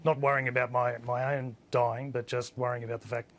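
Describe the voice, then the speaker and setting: Man, husky voice, plain-spoken, lots of ums, tv panel guest, linked in from a remote studio. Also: medium pitch, level tone, brisk, a bit bright. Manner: plain-spoken, hesitant with many fillers. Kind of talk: live TV panel discussion.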